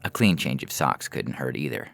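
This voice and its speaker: Very deep, gravelly male story reader. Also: lively intonation, normal speed, fairly bright tone. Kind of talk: literary short story narration. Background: faint background music.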